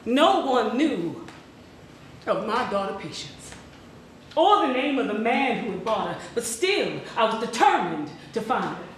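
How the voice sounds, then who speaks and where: In a very echoey hall with faint noise, a woman plays a determined freed mother searching for her child. Her voice is fairly high, and she delivers her testimony deliberately, as a stage reading. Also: very animated. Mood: determined, sorrowful. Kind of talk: dramatic stage reading of a first-person testimony.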